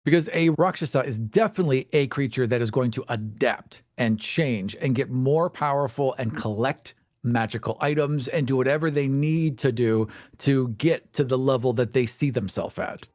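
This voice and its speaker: A man's voice: mid-range voice, normal speed, few pauses, darkish tone, no room sound, clean studio sound. A man co-hosting a casual podcast, talking about monsters with emphatic enthusiasm. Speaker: podcast co-host. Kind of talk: casual chat about tabletop games.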